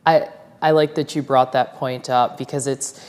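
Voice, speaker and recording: A woman moderating a panel discussion, asking measured questions and affirming the speakers. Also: rumbling low, steady tone, darkish tone, big-room sound. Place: university forum hall.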